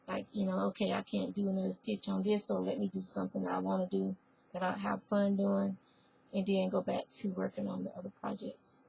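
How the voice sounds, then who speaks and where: A woman whose voice is hoarse from a spreading cold, chatting casually in a crafting vlog and often rambling and correcting herself. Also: medium pitch, monotone, fairly quick, warm tone, a little hiss or hum, low-quality recording. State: hoarse, losing her voice. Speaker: fiber arts vlogger. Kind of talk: casual chat.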